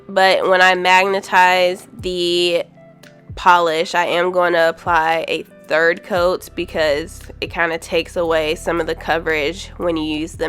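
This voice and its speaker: Woman nail reviewer, lowish smooth voice, stuffy from a cold, faint music behind. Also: fairly bright tone. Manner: easygoing, frequent ums, candid. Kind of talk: casual product review voice-over.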